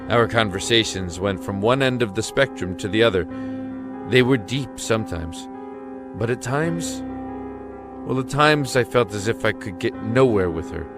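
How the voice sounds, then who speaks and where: An actor playing an earnest, anguished young man locked in a dark cell, speaking haltingly and emotionally in an audio drama. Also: fairly low pitch, expressive, roomy sound, natural voice. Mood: wistful narrating. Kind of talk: audio drama acting and first-person narration. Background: music.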